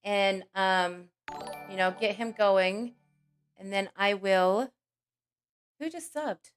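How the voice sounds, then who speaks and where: Hoarse, slow-talking woman streamer. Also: mid-range voice, faint music behind. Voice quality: scratchy. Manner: chatty, greets each viewer by name. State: hoarse from a cold. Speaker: livestream host. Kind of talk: casual livestream chat greeting viewers.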